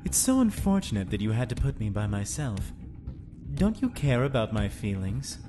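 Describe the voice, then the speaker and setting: A man's voice: moderate pace, lightly acted. An actor playing a cruel, mocking male prisoner in a fan audio drama, with a theatrical, taunting manner. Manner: sneering, theatrical, lively pitch. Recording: music playing underneath.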